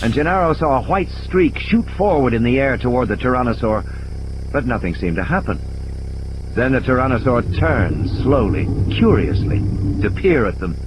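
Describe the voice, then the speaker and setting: A man's voice: mid-range voice, background noise. A man reading scripted, dramatic story narration as an audiobook narrator. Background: music and sound effects.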